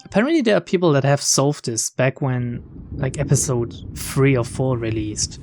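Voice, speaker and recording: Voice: mid-range voice, very animated, normal speed, a little mellow. A let's player casually commentating and reading a visual novel's narration aloud. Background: game music behind the voice.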